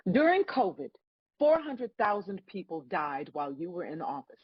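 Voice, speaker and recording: A woman plays God as a dry, scolding celestial judge in a comedic script read. Her husky voice swings widely in pitch, stern and full of sarcasm. The sound is close and dry but somewhat dull. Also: sing-song, normal speed, no echo. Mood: scolding, sarcastic. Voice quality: husky, raspy.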